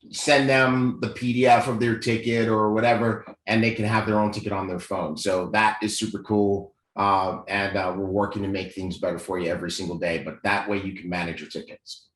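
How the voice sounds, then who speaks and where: A man's voice: lowish voice, fairly bright tone. Enthusiastic man on a livestream. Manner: enthusiastic, rattles off names, easygoing folksy sayings. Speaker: company livestream co-host. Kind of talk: casual promotional livestream chat. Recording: echoey room.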